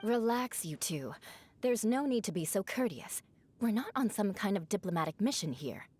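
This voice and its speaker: A haughty, composed lady knight from a game, played by an actress. She is dry and formal, with expressive intonation over a faint rumble. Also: normal speed, close to an everyday voice. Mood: cool, dismissive. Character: cool, haughty, composed young noblewoman knight. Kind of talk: English video game voice acting.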